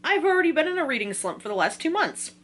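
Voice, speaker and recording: An American woman from Virginia talking casually in an excitable, rambling, slangy way, like a book vlogger. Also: high-pitched, fast. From Virginia, United States. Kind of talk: casual chatty video talk.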